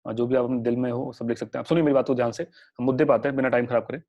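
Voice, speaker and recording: A young man running a live online exam-prep class, talking quickly in a chatty, reassuring way. His throat is sore, and the voice sits at a medium pitch. The audio is a little dull and lo-fi, like a video call. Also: fairly even intonation. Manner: chatty, reassuring, mixes English words into Hindi.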